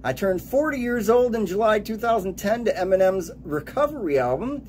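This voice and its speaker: A man in his late forties giving an upbeat radio DJ countdown, announcing titles and years in a lively way. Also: high-pitched, normal speed, a little hiss or hum. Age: late 40s.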